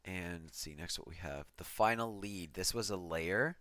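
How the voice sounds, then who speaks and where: A man's voice: low voice, moderate pace, a bit bright. A man giving an offhand, self-deprecating commentary as he breaks down an electronic track he produced. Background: music from the track being played.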